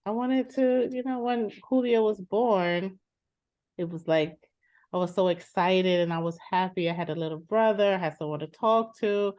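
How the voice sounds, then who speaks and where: A woman in her thirties playing a practical homebody older sister in a therapy role-play, halting and reflective. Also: lowish voice, a little mellow, no room sound. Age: 30s.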